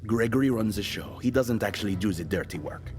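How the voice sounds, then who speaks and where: An actor playing an irritable, world-weary hired killer in an audio drama, dry, sarcastic and menacing. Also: male voice, fairly low pitch, expressive, a little fast, darkish tone, big-room sound, soft background music, natural voice. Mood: accusing.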